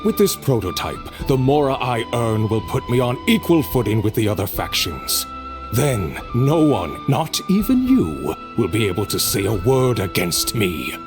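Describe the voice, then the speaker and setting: An actor playing a resentful, ambitious crime boss in a video game, with a haughty, theatrical, menacing manner. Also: male voice, medium pitch, wildly swooping pitch, a little slow. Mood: smug, menacing. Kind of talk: dramatic video game voice acting. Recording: voice over music.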